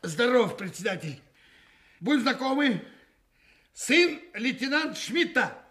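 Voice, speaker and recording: An actor playing a brash, simple-minded young crook in a radio play, pushy, bumptious and grandiose. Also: male voice, very high voice, very animated, measured pace, pushed and bright, exaggerated voice. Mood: brash, cheerful. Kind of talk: Russian radio play acting.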